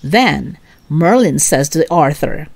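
Female children's storyteller: slow, deliberate, highly expressive read-aloud delivery. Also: gravelly, noisy background. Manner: deliberate, simple phrasing for children.

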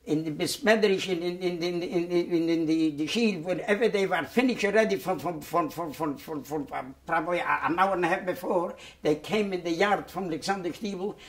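A man, giving oral history testimony in a halting way, with many repeated words and restarts. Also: high-pitched. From Poland. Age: 90s. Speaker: Holocaust survivor giving testimony. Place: dark studio set, indoors.

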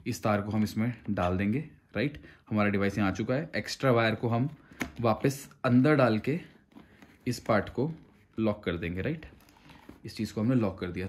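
A man explains a product step by step in a conversational vlog style, mixing in English phrases. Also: fairly low pitch. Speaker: motorcycle gear reviewer. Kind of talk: casual hands-on product tutorial.

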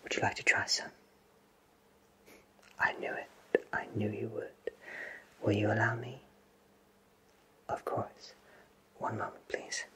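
A gentle male potion shopkeeper from a magical ASMR roleplay, acted by a man. He whispers at an unhurried, deliberate pace. His manner is courteous and soft, spoken right up close to the listener. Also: on the low side, level tone. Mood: courteous, inviting.

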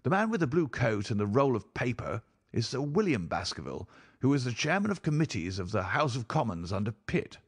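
A man's voice: mid-range voice, sing-song, fairly quick, breathy edge, no echo, clean studio sound, pitched above the performer's own voice. A man voicing a genial, courteous baronet in an audiobook, hearty, frank and good-humoured. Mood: eager, genial. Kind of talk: audiobook character voice.

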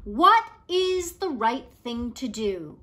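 A woman voicing an earnest, questioning young boy from a storybook, speaking with clear emphasis. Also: a little high, very animated, slow, pushed and bright, full character voice. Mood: earnest, wondering.

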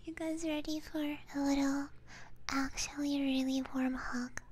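A woman whispering breathily into a close binaural microphone, chatting casually and haltingly with viewers in slangy, self-deprecating ASMR style. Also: sits high, flat delivery, darkish tone. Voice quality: breathy, mostly unvoiced whisper. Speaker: ASMR livestreamer.

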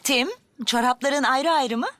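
A woman's voice: high voice, measured pace, a bit bright. A woman voicing a cheerful young mother in a dubbed cartoon, upbeat and full of praise.

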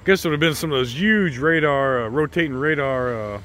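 A man's voice: mid-range voice, brisk, a bit bright. A middle-aged man giving casual, unscripted vlog commentary in a hesitant, rambling way. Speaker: vlog host.

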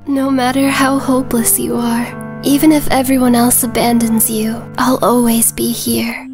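A woman's voice: a little high, little pitch movement, normal speed, music in the background, close to an everyday voice. A woman voicing a devoted, clingy young woman in a game, coy and doting. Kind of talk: game voice acting.

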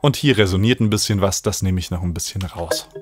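Low-voiced man giving a tutorial. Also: a little fast. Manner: explanatory, informal. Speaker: music production tutor. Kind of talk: tutorial narration while demonstrating software. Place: small indoor home studio. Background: electronic music he is working on.

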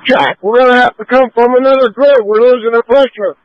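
A man's voice: very high-pitched, fairly even intonation, a little fast. A man, a firefighter, calling in over a two-way radio. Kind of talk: fire radio traffic. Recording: dull, lo-fi two-way radio transmission.

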